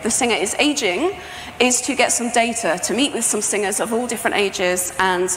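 A woman's voice: medium pitch, normal speed, a bit bright, faint music behind. Woman presenting in an echoey hall. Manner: conversational, explanatory. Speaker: sound artist presenting a project. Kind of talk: conference talk, informal presentation. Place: indoor talk venue with projection screen, on stage. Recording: echoey hall, faint background noise.

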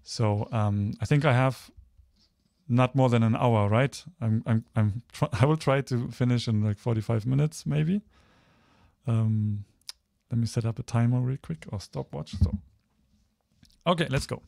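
A man in his thirties from Germany presents his slides informally over a video call. He speaks hesitantly, feeling for the next word, at a medium pitch. The sound is dry, with little room around it. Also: unhurried, gravelly, a little mellow, no room sound. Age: 30s. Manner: hesitant, searching for words. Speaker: guest speaker, photographer.

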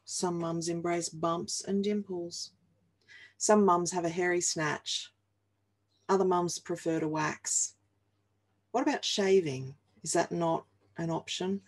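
Slow-paced Australian woman reading aloud. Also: lowish voice, a little mellow. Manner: deliberate, wry, playful. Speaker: reader of a humorous picture book. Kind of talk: expressive read-aloud of comic rhyming verse. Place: small home office, indoors.

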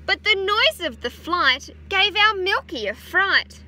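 An Australian woman in her thirties, a teacher gently reading a picture book aloud to children outdoors on a farm, adding chatty asides. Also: very high-pitched, lively intonation, slow. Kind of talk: children's story time read-aloud. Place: outdoors on a farm beside a utility vehicle. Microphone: phone camera held close.

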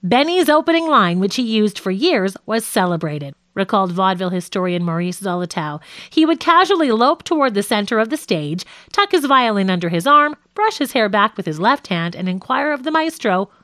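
A woman reading a nonfiction audiobook as a scripted narration, with measured, clearly phrased delivery. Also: mid-range voice, big pitch swings, a bit bright, no room sound, studio quality.

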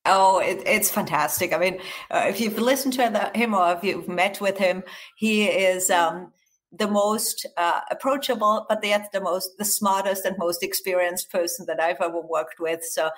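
A woman's voice: mid-range voice, fairly even intonation, normal speed. A middle-aged woman from Austria, with an accent mixing American and Austrian, speaking as a guest in a podcast interview, upbeat and full of fillers and restarts. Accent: mix of American and Austrian. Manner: enthusiastic, with frequent fillers and restarts. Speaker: guest, HR research executive.

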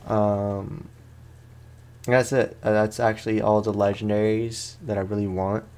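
Male gaming host, low register with heavy vocal fry, rambling casual let's play commentary. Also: level tone, unhurried, a little mellow. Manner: rambling, slangy, self-deprecating.

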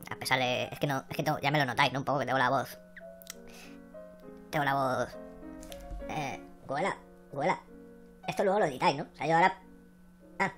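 A man voicing a hoarse, flustered female assistant, who rambles and then reads out rankings. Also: medium pitch, fairly quick, long pauses, fairly bright tone, soft background music, voice pushed up, lightly acted. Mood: apologetic. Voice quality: hoarse, croaky.

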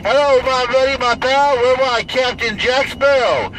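A man's voice: extremely high pitch, moderate pace, a bit bright. An American man chattering on CB radio in slang, with showy catchphrases and repeated calls. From Idaho, United States. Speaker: CB radio operator. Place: inside a parked or running vehicle. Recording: very noisy vehicle interior with radio static.

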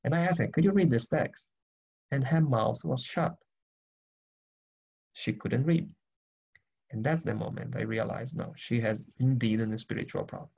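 A Brazilian-accented man in his forties tells stories in a meandering way, often restarting his sentences. His voice has a gravelly edge. The sound is muffled, as if picked up by earphones on a video call. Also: medium pitch, moderate pace, pauses often, darkish tone. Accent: Brazilian Portuguese. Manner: rambling, self-correcting storytelling. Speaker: speaker answering questions. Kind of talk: online Q&A talk. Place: home, virtual beach background. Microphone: earphone mic on a video call.

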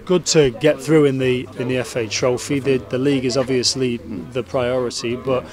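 A man's voice: medium pitch, steady tone, moderate pace, crowd noise. A man working as a sports reporter, asking questions in a post-match interview.

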